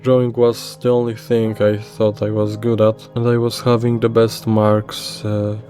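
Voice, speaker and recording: Soft-spoken Polish-accented man, flat and low. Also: a little slow. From Poland. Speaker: narrator. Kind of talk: personal storytelling voice-over. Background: faint soft music.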